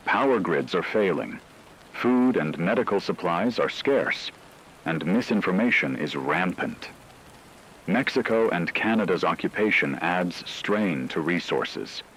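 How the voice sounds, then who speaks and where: A man's voice: deep voice, a bit bright, slight background noise, poor audio. A man playing a solemn radio news anchor, delivering a portentous scripted emergency war broadcast. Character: grave male radio news anchor announcing world war.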